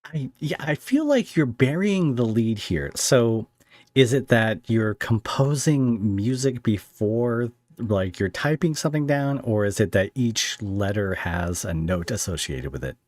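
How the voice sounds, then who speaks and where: A man hosting a podcast, chatting casually with a guest in halting, searching phrases that often turn into questions. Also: medium pitch, big pitch swings, a little mellow, no room sound. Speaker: podcast host interviewing a guest. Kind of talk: casual interview chat.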